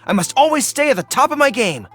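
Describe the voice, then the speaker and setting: A man voicing an earnest, dutiful young dog-eared general in a video game, with crisp military diction. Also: very high-pitched, very animated, fast talker, a bit bright, faint music behind, heavily acted. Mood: commanding. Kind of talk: video game character voice acting.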